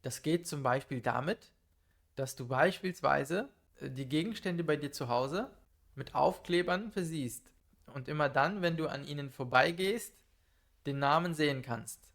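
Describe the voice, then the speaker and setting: A man teaching German in a calm, methodical monologue, speaking slowly and clearly for learners. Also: on the high side. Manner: calm, methodical, lists numbered tips.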